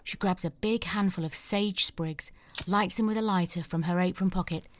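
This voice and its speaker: A woman reads the stage directions of an audio drama with a notably low, even voice. Her reading is steady and measured. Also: a little hiss or hum. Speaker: narrator.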